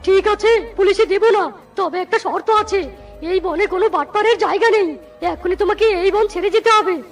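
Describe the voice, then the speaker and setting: A righteous little cartoon animal, talks in an extremely high voice. The delivery is scolding and exclamatory. Light music sits underneath. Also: female voice, normal speed. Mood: indignant. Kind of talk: Bengali cartoon voice acting. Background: background music.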